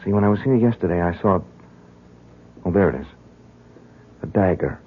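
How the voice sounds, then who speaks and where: A man's voice: low voice, lively intonation, normal speed, slight background noise. An actor playing an earnest, determined man who explains things hesitantly in a 1970s radio drama. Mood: pensive, intent. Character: earnest, determined young man dabbling against occult forces. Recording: muffled, narrow-band 1970s radio recording.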